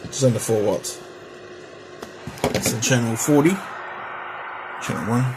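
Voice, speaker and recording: A man's voice: mid-range voice, measured pace. A middle-aged man casually demonstrating and testing a radio, rambling and repeating himself as he goes. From Australia. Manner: rambling, chatty, repeats test counts. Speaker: radio hobbyist vlogger. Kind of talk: casual hands-on equipment demo. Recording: home recording with noticeable background noise.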